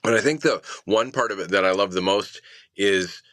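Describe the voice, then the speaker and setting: Low, bright-edged man, thoughtful interview guest. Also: moderate pace, no echo, clean studio sound. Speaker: interview guest, sound designer. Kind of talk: reflective interview talk.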